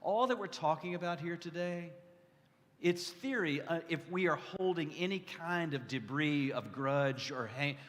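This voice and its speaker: A man with a slightly high voice gives an earnest testimonial sermon. He talks conversationally, often restarting or repeating a phrase for weight. His words ring out with long reverb in a large hall. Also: animated, unhurried, fairly bright tone. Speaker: guest preacher. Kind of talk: testimonial sermon to a live gathering. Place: large indoor hall, addressing a gathering.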